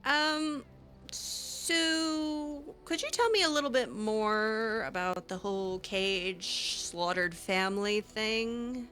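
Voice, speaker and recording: A woman's voice: a little high, slow pace, bright, forceful tone, faint music behind. A woman improvising in character over a fantasy game, speaking casually with a hesitant, sassy manner. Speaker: game streamer roleplaying her character.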